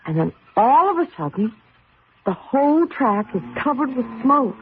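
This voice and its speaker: An actress playing an affectionate, shaken woman race driver in a radio mystery drama, earnest and halting. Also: sits high, very animated. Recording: noisy narrow-band old radio recording.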